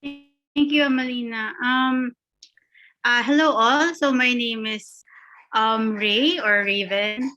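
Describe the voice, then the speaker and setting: Bright, slow-speaking woman. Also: fairly high pitch, fairly even intonation, lo-fi sound. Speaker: workshop participant.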